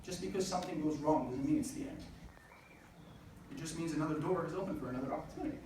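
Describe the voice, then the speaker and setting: A man's voice: a little high, quick pace. Young man speaking in an echoey hall. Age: 20s. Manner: earnest, with frequent uh fillers. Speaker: speaker at a student business event. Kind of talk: motivational speech. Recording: echoey hall recording from far off, noisy.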